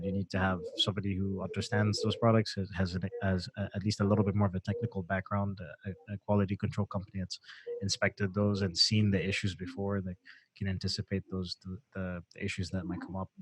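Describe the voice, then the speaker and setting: A man hosting a live-stream chat about manufacturing. He talks loosely and uses plenty of fillers. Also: deep voice. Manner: relaxed, reads out viewer comments, many fillers. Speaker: host of a sourcing show.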